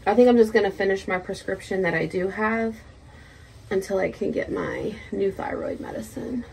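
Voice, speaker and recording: A woman's voice: mid-range voice, normal speed, gravelly, breathy edge, rough recording. A woman in her thirties chatting casually in a rambling, sweary personal vlog recorded in a room at home. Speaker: vlogger. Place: small indoor room at home.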